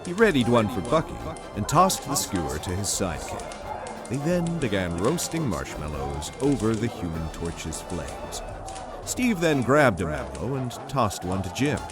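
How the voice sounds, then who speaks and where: A man's voice: fairly low pitch, very animated, unhurried, over music. A man delivering scripted, dramatic narration as the narrator of an audio drama.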